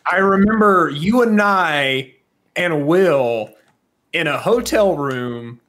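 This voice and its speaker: A man's voice: fairly high pitch, animated, slow, fairly bright tone. A man from the southern US with an American accent, co-hosting a podcast in a casual, joking, opinionated way. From southern United States. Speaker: podcast co-host. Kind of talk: casual chat.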